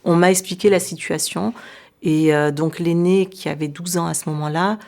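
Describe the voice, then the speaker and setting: Low, flat-voiced French woman. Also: normal speed, creaky. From France. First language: Arabic. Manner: hesitant, many fillers and restarts. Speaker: local elected official, interview guest. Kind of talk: radio interview, recounting events.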